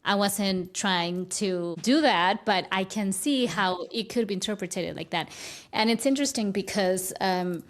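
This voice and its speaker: A woman's voice: medium pitch, measured pace. Woman, first language Spanish, guest speaker, reflective, restarts phrases, mid-range voice. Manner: thoughtful, repeats and restarts phrases. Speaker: guest, spiritual teacher. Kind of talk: conversational interview.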